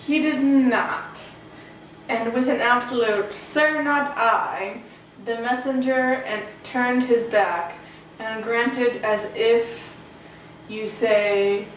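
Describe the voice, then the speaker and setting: A woman playing a nobleman who delivers grave news in a measured, solemn way. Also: on the high side, steady tone, very echoey, distant mic, slight background noise, poor audio. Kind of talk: amateur Shakespeare performance.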